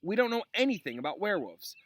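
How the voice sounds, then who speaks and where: Man acting shrill, indignant schoolkid. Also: big pitch swings, fast, fairly bright tone, full character voice. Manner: exclaiming in protest. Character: indignant, whining schoolchildren protesting unfair homework.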